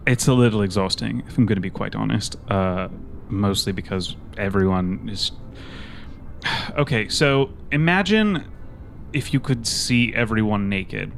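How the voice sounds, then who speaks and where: A man playing a weary, observant tech expert in a tabletop game, speaking in a measured, wry way. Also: on the low side, expressive, gravelly, a little hiss or hum. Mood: weary, casual. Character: weary, dry, observant male tech expert, John Galt. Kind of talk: tabletop roleplay and noir-like scripted narration. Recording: music under the voice. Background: music.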